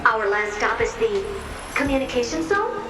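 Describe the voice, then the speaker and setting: Echoey, high-pitched excitable magic mirror. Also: female voice, normal speed. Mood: uneasy. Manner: excitable, expressive. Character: sentient talking mirror, squeamish, excitable, timid but cheeky sidekick. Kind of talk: video game voice acting. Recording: echoey, distant, loud music behind.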